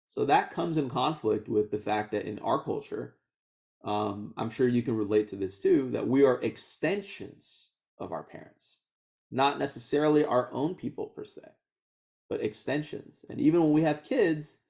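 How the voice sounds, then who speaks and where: A man in his thirties from Houston, whose first language was Vietnamese, telling his life story in a relaxed oral history interview, often laughing and restarting his sentences. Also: medium pitch, moderate pace, creaky. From Houston, Texas. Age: 30s.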